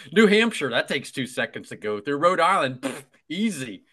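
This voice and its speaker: A man's voice: a little high, sing-song, normal speed, bright, forceful tone, slightly rough. A man co-hosting a casual podcast chat, joking and laughing often. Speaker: podcast co-host.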